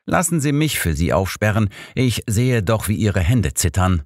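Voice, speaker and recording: A man's voice: fairly low pitch, sing-song, moderate pace, no echo, studio quality, pitched above the performer's own voice. A man voicing a confident, cunning old detective with an assured, persuasive manner in an audiobook. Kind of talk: audiobook character dialogue.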